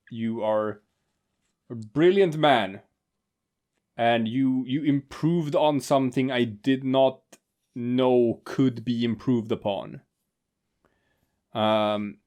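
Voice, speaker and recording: A man's voice: medium pitch, darkish tone. Rambling man chatting over a movie. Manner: rambling, many fillers and restarts. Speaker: podcast co-host. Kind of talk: casual movie commentary chat.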